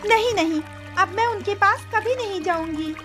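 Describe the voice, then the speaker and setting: A woman's voice: very high voice, moderate pace, fairly bright tone, faint music behind, pitched above the performer's own voice. A woman voicing a stubborn, hurt young princess in a cartoon story, with a childlike, insistent way of complaining. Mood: eager, insistent.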